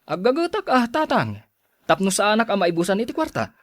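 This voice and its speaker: An earnest young Ilocano man, hopelessly in love, for a radio drama. His fairly high voice swings widely as he pleads, and the recording is dry and close. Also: huge pitch swings, brisk, a bit bright, dry recording, heavily acted. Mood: eager. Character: lovestruck earnest young man, devoted suitor and son. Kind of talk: melodramatic radio drama acting.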